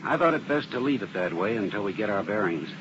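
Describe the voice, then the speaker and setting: Actor as plain-spoken arson expert, noisy old radio. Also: male voice, on the low side, expressive, quick pace, lightly acted. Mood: assured explaining. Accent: American. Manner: explanatory, plain-spoken, assured. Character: earnest, methodical male arson specialist briefing an investigator. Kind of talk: 1950s radio drama acting. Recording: dull old radio recording with heavy noise.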